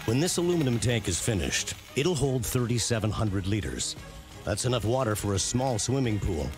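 Male narrator for a factory documentary series, mid-range voice, punchy scripted read over a clearly audible music bed. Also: mid-range voice, expressive, normal speed. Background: prominent background music.

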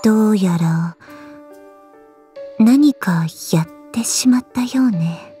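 A woman voicing a cool, aloof, quietly caring gorgon swordswoman in a game, languid, deadpan and curt, with a soft, husky voice. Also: medium pitch, slow pace, soft background music. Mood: dry, resigned. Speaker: voice actress. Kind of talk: scripted Japanese mobile game character lines.

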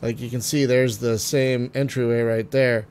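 A man getting over a cold, phlegmy and clearing his throat, chatting casually through a game with many ums and trailing remarks. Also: mid-range voice, flat delivery, moderate pace, slight background noise. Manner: chatty, frequent ums and uhs, trailing off quietly. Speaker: gaming video host. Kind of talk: casual let's-play commentary.

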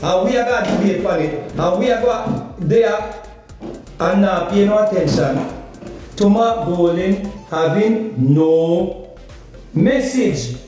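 A middle-aged Jamaican man rants about politics into a phone held out at arm's length, repeating himself and firing off rhetorical questions. His high voice sounds a little distant from the mic. The room echoes heavily, with faint music underneath. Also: darkish tone. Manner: insistent, rhetorical questions, emphatic repetition. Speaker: political vlogger. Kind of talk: political commentary rant. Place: indoor kitchen or room.

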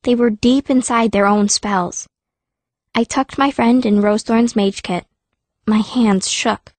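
A fierce, earnest young girl, played by a woman narrates an audiobook in the first person. Her voice sits fairly high, in a dry, close recording. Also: steady tone, measured pace, no echo, lightly acted. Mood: tense, sad.

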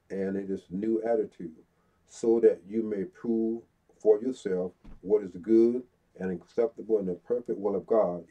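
A middle-aged man with a stuffy nose, slowly reading scripture aloud as a Bible teacher, pausing between phrases. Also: on the low side, dark tone. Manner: slow, deliberate reading with pauses, stuffy-nosed. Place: small room before a brick wall. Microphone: fixed camera, head and shoulders. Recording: dull lo-fi sound.

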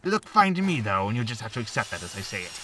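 A man's voice: fairly low pitch, big pitch swings, rapid-fire, pushed and bright, recorded from a distance, a little hiss or hum. An actor playing a smarmy, irritable male used-spaceship salesman in a sci-fi comedy audio drama.

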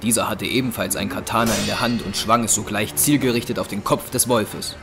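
Male narrator, fairly low voice, dramatic scripted storytelling, audio drama, clear music behind. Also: fairly even intonation, brisk.

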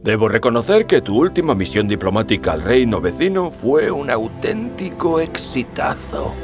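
A man's voice: mid-range voice, big pitch swings, normal speed, over music, natural voice. Pompous king and father acted by a man, disparaging, swooping intonation, cartoon fairy tale. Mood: proud. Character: pompous royal father favouring his elder son.

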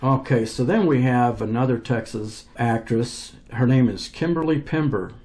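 A man hosting an interview, answering with short affirming prompts and moving on to the next name. His voice sits at a plain middle pitch. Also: moderate pace, darkish tone. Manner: brief affirming replies. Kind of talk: casual interview.

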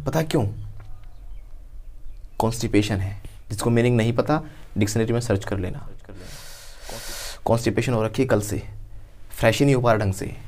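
A man's voice: medium pitch, darkish tone. Playful man teaching English. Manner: playful, acts out little everyday scenes. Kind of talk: spoken English lesson. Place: dark studio.

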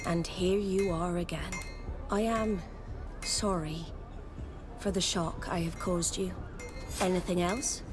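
A middle-aged woman playing a stern, weathered admiral in a video game, speaking gravely and deliberately. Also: on the low side, fairly even intonation, music in the background. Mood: solemn, measured. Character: stern, weathered female admiral of secretive seafarers. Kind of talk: scripted video game acting.

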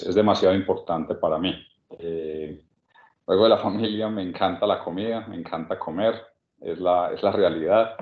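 Colombian guy in his 40s, medellín accent, low voice, kinda dull webcam sound. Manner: relaxed, with many restarts and "eh" pauses. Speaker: guest speaker at a workshop. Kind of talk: personal story in a video call. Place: small room indoors. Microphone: webcam on a video call. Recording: echoey room, dull video-call sound.

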